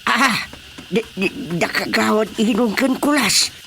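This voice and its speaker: An excitable comic glutton in an Ilocano radio drama. The mid-pitched voice stammers and gets flustered. Clear noise sits behind it. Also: measured pace. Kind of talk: Filipino radio drama comedy acting. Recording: noisy radio drama mix.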